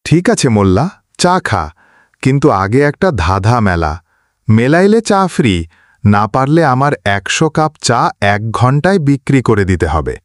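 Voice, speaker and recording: A man's voice: mid-range voice, sing-song, moderate pace, no echo, studio quality, natural voice. A man voicing a boastful, menacing djinn who runs a tea stall in a cartoon, in a theatrical, taunting manner.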